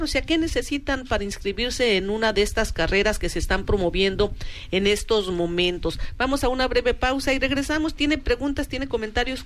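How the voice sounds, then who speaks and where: A woman's voice: a little high, slight background noise. A middle-aged woman from Mexico hosting a radio talk show, chatting casually with plenty of fillers. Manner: conversational, many fillers like "o sea".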